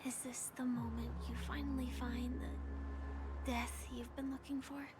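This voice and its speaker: A wistful young woman from a video game, speaks in a high, soft, breathy voice. She takes her time, gentle and reflective, with clear music behind her. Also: flat delivery, measured pace. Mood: soft, curious. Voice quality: soft, breathy, often near whisper. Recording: game audio under loud background music.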